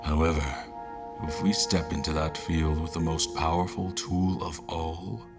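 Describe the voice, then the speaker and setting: Man acting deep, solemn fantasy war general. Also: flat delivery. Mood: grave, resolute. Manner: solemn, deliberate, theatrical, with weighted pauses. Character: grave male war general, devout seeker of a lost dream. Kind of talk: dramatic monologue in a fantasy audio drama. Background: music.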